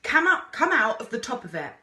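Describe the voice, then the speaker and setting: A woman's voice: on the high side, very animated, brisk, sharp tone, reverberant, voice pushed up, full character voice. A woman voicing a daredevil male explorer pilot from a storybook, commanding and a little mad, barking urgent instructions. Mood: urging. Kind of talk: storybook character voice.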